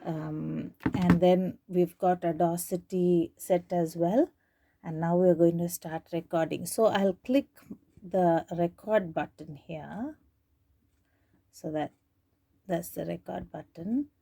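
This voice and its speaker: A woman's voice: fairly low pitch, takes it slowly, creaky, warm tone. A woman presenting an informal, unscripted how-to demonstration, hesitant and thinking aloud as she goes step by step. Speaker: tutorial presenter demonstrating tape digitizing.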